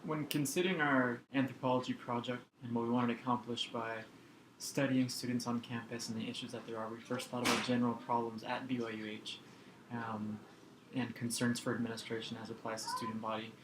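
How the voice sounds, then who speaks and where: A young man explains a student project in a flat, even voice, measured and pausing with um. The sound is roomy and echoey, with clear background noise. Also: medium pitch. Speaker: anthropology student. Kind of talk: semi-scripted presentation.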